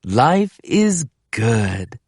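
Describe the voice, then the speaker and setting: Groovy, happy-go-lucky boy cat for a picture book, played by a woman reader, stretched-out words, animated pitch. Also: very slow, breathy edge, no echo, clean studio sound, heavily acted. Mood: laid-back, pleased. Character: laid-back, upbeat groovy male cartoon cat. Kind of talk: storybook character voice in a read-aloud.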